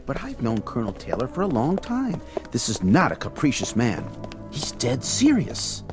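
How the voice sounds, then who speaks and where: A man's voice: medium pitch, huge pitch swings, moderate pace, music in the background. An actor playing an earnest, concerned clubman in an audio drama, hesitant and apologetic.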